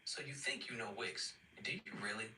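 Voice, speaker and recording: Male tutorial ad presenter, slangy and informal, middle pitch, roomy sound with loud music. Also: fairly quick. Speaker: advertisement presenter. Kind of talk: casual scripted tutorial ad. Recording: roomy, distant playback under loud music.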